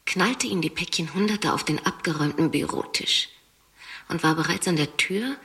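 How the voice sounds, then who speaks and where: Low gravelly woman, biting n brooding, wordy german radio drama monologue. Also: expressive, normal speed, a bit bright. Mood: emphatic.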